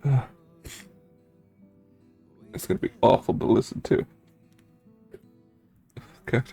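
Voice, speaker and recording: A streamer talking to viewers in an unscripted way, tearful, sniffling and choked up from crying, halting and at a loss for words. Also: dark tone, soft background music. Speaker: streamer, game playthrough host. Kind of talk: emotional unscripted chat to viewers after finishing a game.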